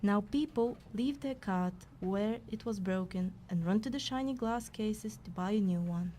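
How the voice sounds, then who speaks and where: A woman's voice: medium pitch, normal speed, dark tone, a little hiss or hum, close to an everyday voice. A woman playing a wry narrator-scribe who trails the hero in a scripted fantasy audio play. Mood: wry narrating.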